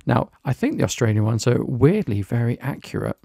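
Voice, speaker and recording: British man, gravelly, dry close mic, walk-through tutorial read. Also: medium pitch, sing-song, brisk, mellow, no echo. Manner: plain, explanatory, lightly chatty. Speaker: software reviewer. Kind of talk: screen-recorded software tutorial.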